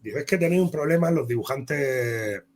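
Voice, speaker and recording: A man's voice: medium pitch, expressive, fairly quick, darkish tone. A man from Spain with a Castilian Spanish accent, telling career anecdotes as an interview guest in a rambling, conversational way. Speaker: comic book author and interview guest.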